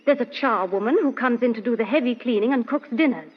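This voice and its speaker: On a dull old radio recording, an actress plays a young lady's companion with a very high voice. She is earnest and chatty, with a genteel, fluttery manner suited to a vintage radio mystery. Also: fast, close to an everyday voice.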